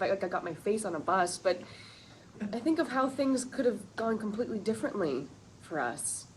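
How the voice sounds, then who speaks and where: Self-deprecating female TV correspondent, fairly high, expressive pitch, clear background noise. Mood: wistful. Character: wry, self-deprecating woman TV news correspondent. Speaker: actor at a sitcom table read. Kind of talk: comedic script read aloud before an audience. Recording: noisy live room.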